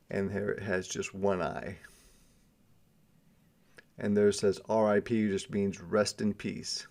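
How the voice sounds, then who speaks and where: Creaky-voiced man reading a children's story. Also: fairly high pitch. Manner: dramatic reading with quieter explanatory asides. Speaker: teacher reading aloud. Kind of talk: interactive children's storybook read-aloud.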